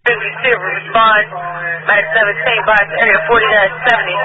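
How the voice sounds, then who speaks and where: A man's voice: sits high, level tone, a little fast. A man working as a fire dispatcher, giving clipped, formal call-outs and repeating addresses over a two-way radio. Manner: clipped, procedural. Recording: tinny, very noisy emergency radio scanner feed. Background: heavy radio noise.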